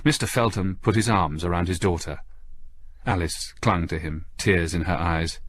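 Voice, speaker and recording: A man's voice: low voice, normal speed, dry recording. A man reading a scripted story as an audiobook narrator, in a dramatic storytelling style.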